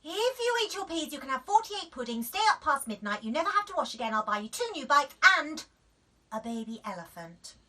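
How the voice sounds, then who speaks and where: A woman's voice: high-pitched, sing-song, brisk, pushed and bright, voice pushed up, heavily acted. A woman voicing an exasperated mum in a children's storybook, coaxing and bribing in gushing lists. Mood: pleading, escalating. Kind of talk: children's storybook character reading.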